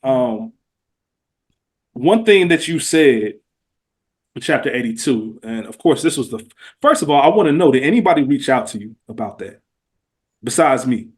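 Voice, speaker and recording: A man's voice: medium pitch, expressive, moderate pace, pauses often. A man speaking as a podcast host in casual conversation, earnest and emphatic, often repeating phrases.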